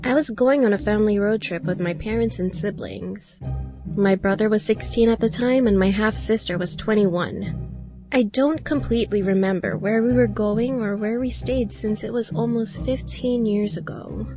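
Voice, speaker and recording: Woman narrating horror over clear music. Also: medium pitch, moderate pace. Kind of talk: scripted first-person horror story narration. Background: background music.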